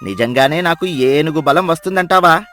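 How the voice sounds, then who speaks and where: Guy playing arrogant village chief, highish male voice, boastful n commanding, story drama, bit of music under. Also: normal speed, sharp tone. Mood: pleased, boastful. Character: arrogant, gullible village headman boasting of his strength. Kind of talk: dramatised moral story dialogue. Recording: music behind the voice.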